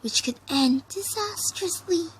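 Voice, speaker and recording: A shy pegasus mare played by a woman reading a story who frets and hesitates. The voice is squeaky and raised, wavering widely in pitch. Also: expressive, a little slow, poor audio. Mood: worried, hesitant. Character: timid, worried, soft-spoken female pegasus pony.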